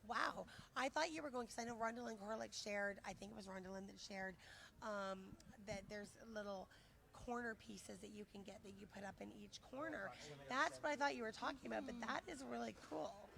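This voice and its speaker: A woman's voice: a little high, creaky, a bit bright. A woman hosting a casual live-streamed chat at a conference, upbeat and enthusiastic, and she is losing her voice. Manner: upbeat, enthusiastic, quick to say "awesome". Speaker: host. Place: conference venue, indoors.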